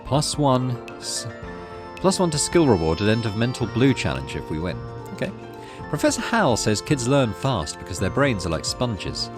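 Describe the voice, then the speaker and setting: A man's voice: medium pitch, huge pitch swings, moderate pace, over music. A man hosting a gaming video, reading story text aloud expressively and adding casual, musing commentary.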